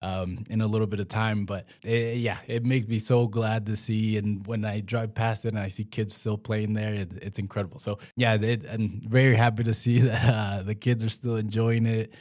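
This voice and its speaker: A young man from Mexico with a fairly low voice, speaking in a relaxed interview. He talks haltingly, full of "uh"s and restarts. His pitch sits lower than most men's. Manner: hesitant, many fillers and restarts. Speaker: community health worker and former pro soccer player. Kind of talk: casual interview conversation.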